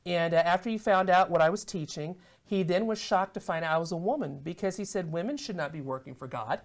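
A middle-aged American woman on a studio talk show, telling her testimony as an animated storyteller who acts out the dialogue. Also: fairly low pitch, a little fast. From United States. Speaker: guest minister. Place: television studio set.

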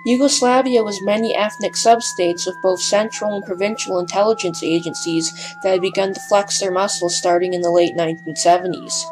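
A woman reads scripted documentary narration at a medium pitch. Her delivery is measured but a touch hesitant, with a slight breathlessness in places. Faint music runs underneath her voice. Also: fairly even intonation, poor audio.

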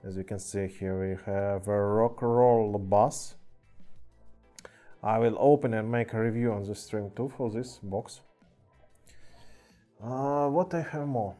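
A man with a Russian accent casually chatting on a live stream in halting English, with many fillers. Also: on the low side, mellow, soft background music. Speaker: streamer showing toy car collection. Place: indoor room with cluttered table. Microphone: webcam mic.

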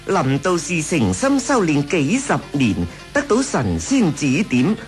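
A woman's voice: low voice, big pitch swings, a little slow, faint music behind. A woman playing a coy, coaxing imperial consort in a radio drama. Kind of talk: Cantonese radio drama acting, 1980s.

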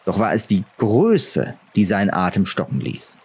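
A man's voice: on the low side, wildly swooping pitch, moderate pace, darkish tone, slightly rough. A man reading a fantasy novel aloud as a dramatic audiobook narrator.

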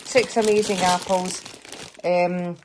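A middle-aged Scottish woman chatting casually over a grocery haul in her home kitchen, rambling a little, slightly hoarse. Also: lowish voice, little pitch movement, slow pace, noisy background. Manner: chatty, rambling, with fillers and small laughs. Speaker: vlogger showing a grocery haul. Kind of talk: casual chat to camera. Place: kitchen counter at home, indoors.

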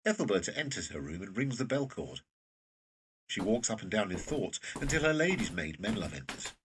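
A man's voice: fairly low pitch, very animated, brisk, fairly bright tone, slightly rough, recorded from a distance. A man reading scripted stage directions as the narrator of a drama, a little off the microphone.

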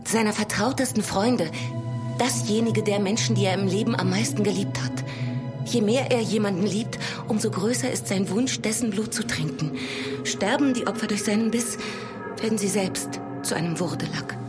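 An actress playing a fearful, devout young village woman, speaking in a hushed, earnest, dramatic way in a horror radio drama. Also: medium pitch, moderate pace, close to an everyday voice. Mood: grave, earnest. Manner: hushed, dramatic, earnest, often near whispering. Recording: prominent music behind the voice.

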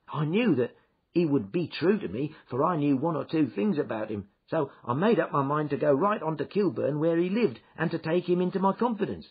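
A shrieking panicked young thief. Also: male voice, on the high side, slightly rough, voice pushed up, heavily acted. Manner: shrieking, desperate, sobbing. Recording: dull lo-fi recording.

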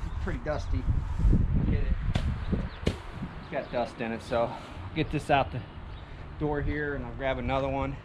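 A man's voice: medium pitch, fairly even intonation, normal speed, pauses often. A man in his thirties chatting casually to the camera while doing chores on his farm, plainspoken and thinking aloud as he works. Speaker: hobby farm vlogger. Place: outdoors on a farm, by a barn and garden. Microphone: camera on a tripod a few meters off. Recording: loud outdoor noise on a camera mic. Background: outdoor ambience with a lawnmower engine.

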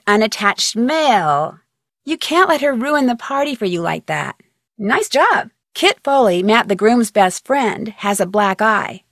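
An actress playing an inquisitive, friendly young American amateur detective in a video game, speaking clearly in an earnest, conversational way. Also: female voice, medium pitch, no room sound, clean studio sound. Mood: teasing. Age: young adult. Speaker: player character of a detective game. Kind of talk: scripted video game dialogue.

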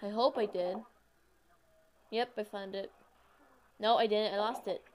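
A woman chatting casually while playing a game, excitable and given to exclaiming. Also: medium pitch, measured pace, a little hiss or hum. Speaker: gamer. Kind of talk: casual gameplay chat.

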